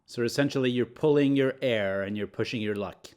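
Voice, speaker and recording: A man's voice: mid-range voice, brisk, darkish tone, clear, resonant tone. A man co-hosting a podcast in casual chat, joking and playful, with frequent fillers. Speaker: podcast co-host.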